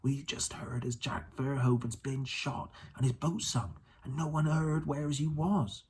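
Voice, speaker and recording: A man voicing a male dock guard in an audiobook, speaking quietly and urgently in rustic dialect. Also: mid-range voice, level tone, a little slow, a little hiss or hum, pitched above the performer's own voice, lightly acted. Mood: hushed urgency.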